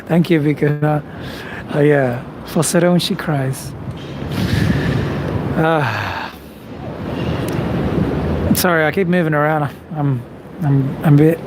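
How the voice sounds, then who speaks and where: Male vocal coach, unhurried and laid-back, mid-range voice, clear background noise. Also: on the high side. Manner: relaxed, chatty, thinking aloud with fillers. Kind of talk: live stream Q&A, reading chat questions. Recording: noisy background.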